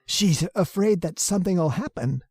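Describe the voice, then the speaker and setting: Henpecked husband female performer, radio horror play. Frightened, halting, raspy and muted in tone, with a reverberant hall around the voice. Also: on the low side, lively intonation, darkish tone. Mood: nervous. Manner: stammering, hesitant, pleading. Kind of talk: old-time radio horror drama acting.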